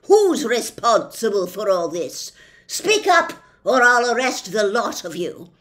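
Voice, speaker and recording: Woman as a loud, fierce little storybook policeman. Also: on the high side, big pitch swings, unhurried. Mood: angry, accusing. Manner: blustering, accusing, loud and emphatic. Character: fierce, tiny, pompous male policeman, judge and jailer. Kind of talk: storybook character voice.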